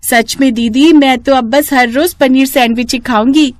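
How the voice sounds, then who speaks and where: A woman voicing an excitable, food-loving little sister in a cartoon story, eager and bubbly. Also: sits high, normal speed, pitched above the performer's own voice, lightly acted. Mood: delighted.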